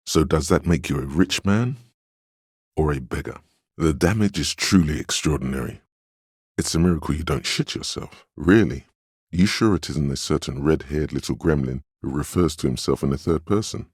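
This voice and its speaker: A man playing a stern, weary, protective inner voice of a detective in a video game, with measured, grave, dryly sardonic delivery. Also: darkish tone, dry recording, clean studio sound, full character voice. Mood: earnest, solemn, then dryly incredulous. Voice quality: very deep, smooth, resonant. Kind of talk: scripted game dialogue and narration.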